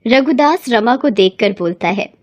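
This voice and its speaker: High-voiced woman narrating a story. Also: expressive, measured pace, dry recording. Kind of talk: scripted bedtime moral story narration.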